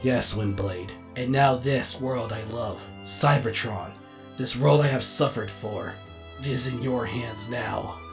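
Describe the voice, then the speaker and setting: A man playing a vain, melodramatic robot actor and director in a comedic animated comic dub, with an excitable, grandiose delivery. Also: medium pitch, slow, gravelly, music in the background. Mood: solemn, hammy.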